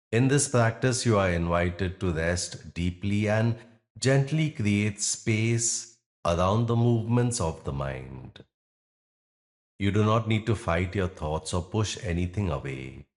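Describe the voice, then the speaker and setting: A man teaching yoga nidra, guiding a meditation gently in short phrases with long pauses. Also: deep voice. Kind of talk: guided meditation.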